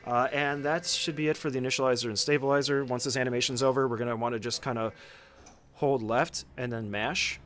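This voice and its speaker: A man's voice: medium pitch, steady tone, brisk, fairly bright tone, slight background noise. A man giving an informal, casual walkthrough commentary as a speedrunner teaching a game route, swearing now and then.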